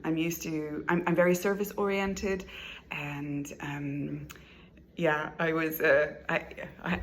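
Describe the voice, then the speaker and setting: Middle-aged female, deep register with heavy vocal fry, reflective and halting, informal self-presentation to camera. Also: a bit bright, slight background noise. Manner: hesitant, reflective, pausing mid-thought. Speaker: job applicant, therapist. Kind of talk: informal recorded self-presentation for a job application.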